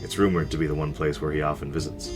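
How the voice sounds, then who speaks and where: Radio play gangster boss, male actor: deep, rough-talking, teasing big brother, music underneath. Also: fairly even intonation, quick pace. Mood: confiding. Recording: music playing behind the voice.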